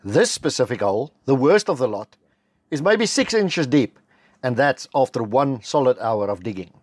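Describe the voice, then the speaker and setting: A middle-aged South African man with an Afrikaans background, narrating a homestead building vlog in a measured, matter-of-fact voice-over. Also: mid-range voice, very animated, husky, no room sound. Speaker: homestead vlogger. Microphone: close voice-over mic.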